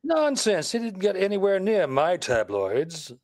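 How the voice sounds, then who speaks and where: A man's voice: sits high, wildly swooping pitch, measured pace, a little mellow, heavily acted. A man playing a witty, nonchalant amateur detective and husband in a vintage radio mystery. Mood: offhand. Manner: wry, evasive banter.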